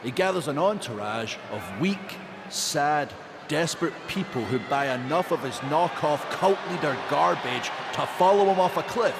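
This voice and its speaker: An actor with a Scottish accent playing a brooding, scornful, menacing pro wrestler in a video game cutscene. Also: male voice, fairly high pitch, background noise. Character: brooding, vengeful male pro wrestler in his prime.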